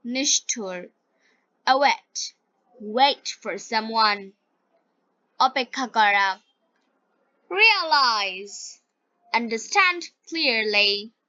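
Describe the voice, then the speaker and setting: A woman with a Bengali accent teaches in a scripted, story-reading style at an extremely slow pace. Her voice is high and bright, and she pronounces each short phrase carefully. The recording is dry, dull and lo-fi. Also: animated, dry recording. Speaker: English teacher.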